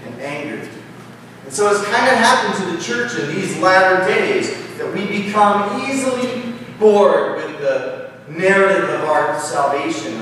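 A man's voice: a little high, fairly bright tone, a little hiss or hum. A pastor in his thirties giving a sermon at a pulpit, conversational and emphatic, with rising lists and gestures. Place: large church sanctuary, at a pulpit. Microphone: pulpit mic, filmed from far camera. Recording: echoey church hall from far off.

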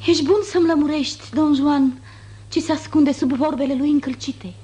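An actress plays a proud, wounded noblewoman in 1950s radio theatre. Her voice is fairly high and soft-toned, very mellow, and she speaks in formal, declamatory reproach. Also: fairly even intonation, close to an everyday voice. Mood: insistent.

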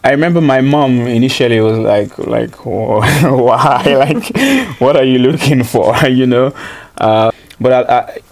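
A man's voice: medium pitch, expressive, unhurried, vocal fry, few pauses. A man, answering interview questions thoughtfully, with many ums and an easy laugh. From Nigeria. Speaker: interview guest, student.

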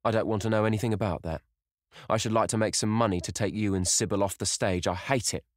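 Man acting a stern, sullen lad, low-voiced. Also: steady tone, quick pace, no echo, clean studio sound, natural voice.

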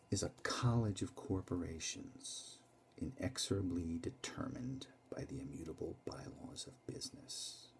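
A man's voice: vocal fry, a little hiss or hum, full character voice. A man doing a thundering corporate tycoon. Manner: booming shouts falling to hushed whispers. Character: commanding male corporate tycoon, fierce, preaching. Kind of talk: dramatic monologue recitation.

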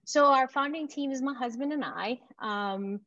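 A woman from Venezuela presenting her company in an enthusiastic, confident startup pitch. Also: normal speed, a bit bright, dry recording, lo-fi sound. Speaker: company founder pitching to investors.

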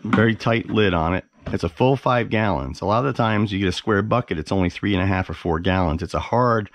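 Very deep-voiced man casually reviewing gear. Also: a little fast, a little hiss or hum. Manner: enthusiastic, plain-spoken, repeats words for emphasis. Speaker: host of a fishing gear review channel. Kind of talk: casual, unscripted product review. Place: carpeted living room at home, indoors.

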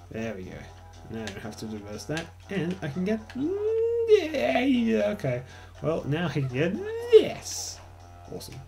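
A young man with an Australian accent rambles through casual gameplay commentary. He swears often and pokes fun at himself. His pitch swings widely as he talks. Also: a little mellow. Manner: rambling, swears a lot, self-mocking. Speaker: let's play host.

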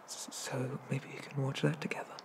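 A man voicing a shy, gentle young male demon in an ASMR roleplay, soft and hesitant, often slipping into whispers. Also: mid-range voice, flat delivery, moderate pace, creaky, natural voice. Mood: nervous, tender. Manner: hesitant, stammering, bashful. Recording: noticeable background noise.

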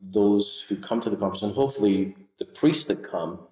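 A man's voice: lowish voice, fairly quick, a little mellow. A middle-aged male priest talking earnestly in an interview, often repeating words as he starts a phrase. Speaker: priest and author. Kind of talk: interview conversation. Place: sitting room with large windows. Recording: roomy, from far off.

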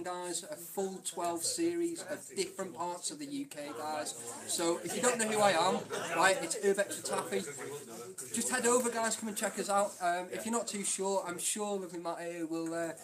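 A man's voice: high voice, moderate pace. Hyped man talking to viewers over a crowd. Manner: loud, hyped, addresses viewers as guys. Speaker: urban explorer vlogger. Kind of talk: excited casual chat. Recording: busy crowd noise behind.

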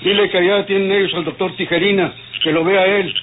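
An actor playing a proud, indifferent, ageing rich doctor in an old radio drama, speaking formally and indignantly. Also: male voice, sits high, steady tone, a little fast, natural voice. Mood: haughty, dismissive. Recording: tinny narrow-band old radio drama, faint noise.

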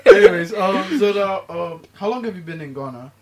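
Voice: mid-range voice, big pitch swings, fairly quick, a crowd in the background. A young adult hosting a casual chat, slangy and teasing with the guests. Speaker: host.

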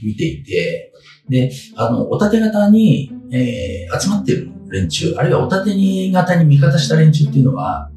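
A man in his sixties explaining as a guest expert in an informal interview, in halting fragments full of fillers. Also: a little high, big pitch swings, vocal fry. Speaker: guest expert on samurai history. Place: small indoor room. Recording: roomy, distant mic, background guitar music. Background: guitar music.

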